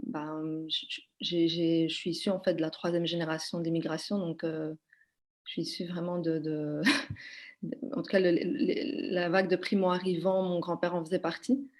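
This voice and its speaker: A woman's voice: lowish voice, level tone, normal speed, a little mellow. A woman from Belgium, a podcast guest, talking in a hesitant conversational way with many fillers and restarts. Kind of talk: conversational interview.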